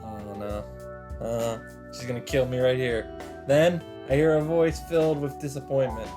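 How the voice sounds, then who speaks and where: Male let's play host, mid-range, casual and mock-dramatic narration, clear game music underneath. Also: medium pitch, fairly even intonation, normal speed. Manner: mock-dramatic, interjects swearing and side remarks. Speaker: let's play host reading a visual novel. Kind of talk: reading game narration aloud with casual commentary. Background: visual novel background music.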